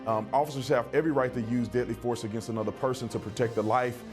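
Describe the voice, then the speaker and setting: Fast-talking, blunt American man. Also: medium pitch, fairly even intonation, music in the background. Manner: blunt, indignant. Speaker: TV guest commentator. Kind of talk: TV interview commentary.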